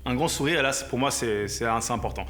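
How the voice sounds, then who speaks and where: A man's voice: mid-range voice, quick pace, a bit bright. A young man with a southern French accent, talking casually and hesitantly as a reality show contestant, often restarting his sentences. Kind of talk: casual chat and confessional. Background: background music.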